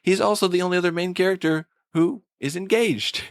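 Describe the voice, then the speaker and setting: A man's voice: high-pitched, steady tone, brisk, no room sound, studio quality. A man co-hosting a podcast, casually picking apart a TV episode in a wry, critical way. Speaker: podcast co-host. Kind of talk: casual TV episode review chat.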